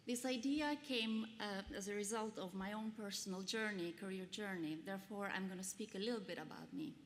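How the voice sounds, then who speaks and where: A woman in her forties with an Albanian accent, giving an earnest, measured conference pitch with frequent fillers. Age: 40s. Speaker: pitch presenter. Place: large conference hall. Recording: long hall reverb, faint noise.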